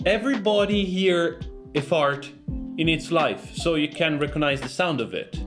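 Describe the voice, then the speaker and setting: A man who is a non-native English speaker, hosting an informal sound design tutorial in a joking, self-deprecating manner. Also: on the high side. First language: not English. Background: music.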